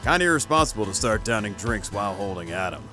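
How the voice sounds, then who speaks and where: A man's voice: on the low side, expressive, quick pace, music in the background, natural voice. A man playing a boisterous, competitive drinking buddy in an audio drama, with a folksy showman manner. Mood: concerned.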